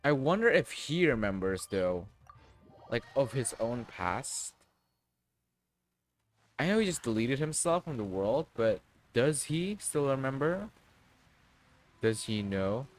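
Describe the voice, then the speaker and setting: Unhurried male streamer reacting to a game. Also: mid-range voice, very animated, soft background music. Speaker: livestreamer. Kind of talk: casual reaction commentary to game story.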